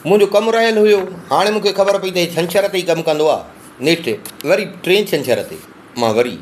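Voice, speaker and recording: A man's voice: high voice, lively intonation, moderate pace, a little hiss or hum. A man narrating a long folk tale in first person, dramatic and emotive. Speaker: storyteller. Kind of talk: oral storytelling.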